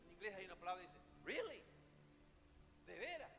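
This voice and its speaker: A man's voice: sits high, very animated, a little fast, vocal fry. A man with a Rioplatense Spanish accent preaching a sermon, dramatic, with rhetorical questions and emphatic repetition. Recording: echoey hall, music behind the voice.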